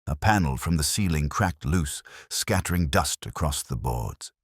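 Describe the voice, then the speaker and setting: A man's voice: a bass voice, lively intonation, moderate pace, breathy edge, dry recording, clean studio sound. A man giving a scripted mystery narration in a grave, dramatic, suspenseful manner. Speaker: story narrator.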